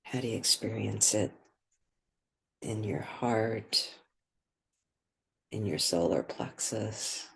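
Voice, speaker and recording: A soft-spoken woman leading a guided meditation, pausing between short phrases. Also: rumbling low, gravelly, husky, low-quality recording.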